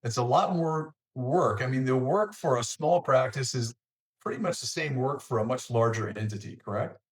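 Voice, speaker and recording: A man's voice: medium pitch, animated, normal speed. A man hosting an interview podcast, putting questions to a guest in a conversational style.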